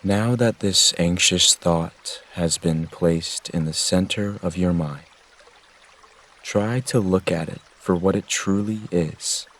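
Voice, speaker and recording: A man with a low voice leads a guided meditation. He speaks very slowly, in a gentle and soothing way, giving measured instructions.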